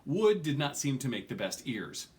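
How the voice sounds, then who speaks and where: Expressive man reading a story aloud. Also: medium pitch, normal speed. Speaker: reader of an audiobook. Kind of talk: expressive read-aloud of a fantasy novel. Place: small furnished room at home, indoors.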